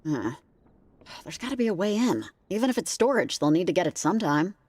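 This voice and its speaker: A woman's voice: on the low side, sing-song, brisk. An actress playing a wry, skeptical young woman in a video game, speaking in a naturalistic, understated, conversational way. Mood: curious, focused. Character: dry-witted, skeptical young woman exploring a cult's hideout. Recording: music behind the voice.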